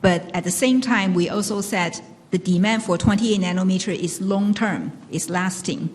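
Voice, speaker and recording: A woman's voice: medium pitch, moderate pace, darkish tone. A woman moderating an earnings conference call, restating questions and fielding them for the executives. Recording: long hall reverb.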